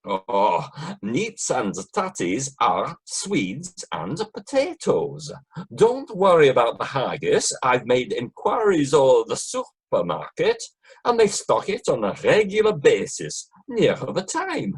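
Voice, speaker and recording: A man's voice: medium pitch, wildly swooping pitch, slow pace, husky, exaggerated voice. A man playing a practical, reassuring club organiser in an amateur radio play. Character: practical, reassuring male society member organising supper.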